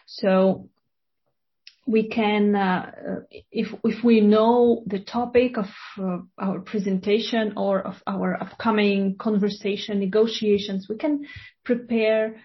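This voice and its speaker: Slow, measured woman guest. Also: medium pitch, steady tone, muffled recording. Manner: measured, thoughtful, with fillers. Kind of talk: interview answers.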